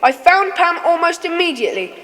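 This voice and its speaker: Schoolboy, earnest, high lively voice, children's audio drama, ringing reverb. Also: female voice, fairly quick, exaggerated voice. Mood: puzzled, insistent.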